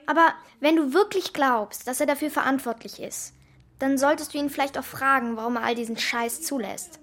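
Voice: high-pitched, brisk, a bit bright. A performer playing a bold, resourceful young girl in a children's radio play, blunt and self-assured. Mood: defiant, blunt.

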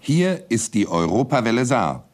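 A man's voice: medium pitch, very animated, moderate pace. A man making an old-style radio station announcement.

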